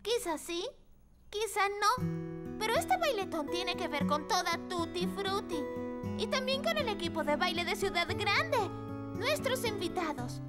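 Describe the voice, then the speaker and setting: A kind, cheerful little girl from a children's cartoon. Her voice is very high and swings expressively. Music plays prominently behind her. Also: unhurried, full character voice. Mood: earnest, encouraging. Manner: sweet, expressive, girlish. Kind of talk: dubbed children's cartoon acting.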